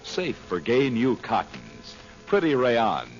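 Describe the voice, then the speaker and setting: A man's voice: medium pitch, huge pitch swings, slow, vocal fry. A man announcing and narrating in a formal, emphatic old-time radio style. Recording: muffled old radio recording. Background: loud music.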